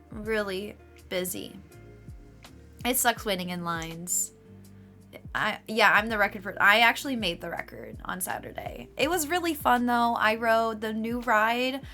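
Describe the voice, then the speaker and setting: A woman streaming live, chatting casually with her viewers and laughing often, her voice a little raspy. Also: medium pitch, pushed and bright. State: slightly raspy voice.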